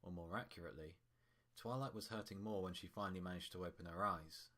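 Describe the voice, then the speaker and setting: A man with a low-pitched voice narrates a piece of fiction. He reads scripted prose as the storyteller, in a deep register. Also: quick pace, sharp tone.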